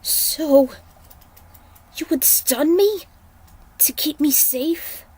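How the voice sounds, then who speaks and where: A woman's voice: high-pitched, very slow, darkish tone, pitched above the performer's own voice. An earnest young-hearted hero, a male warrior monk and champion, as a woman narrator acts him out. The delivery is intense and dramatic, fit for a fantasy fighting story. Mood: angry, distrustful.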